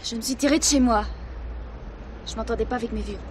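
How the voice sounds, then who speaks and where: Woman acting a high-voiced, guarded teenage girl. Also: fast talker, darkish tone, slight background noise, close to an everyday voice. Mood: shy, guarded. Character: naive, guarded sixteen-year-old runaway girl. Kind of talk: French radio drama acting.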